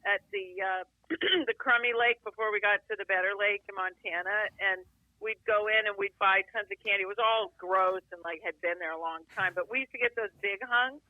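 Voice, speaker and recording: A woman's voice: mid-range voice, fairly quick, dry recording. A woman chatting casually over a phone call as a guest, often laughing through her words. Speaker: host's sister, phone guest. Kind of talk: casual chat.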